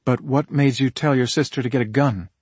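A man's voice: medium pitch, a little fast, darkish tone, husky, dry recording, lightly acted. A man voicing a tough female homicide detective with a direct, challenging manner in an audiobook. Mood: probing.

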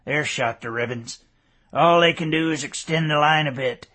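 A man's voice: a little high, moderate pace, bright, forceful tone. A man voicing a hard-nosed, wounded old sergeant who growls his lines. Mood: grim.

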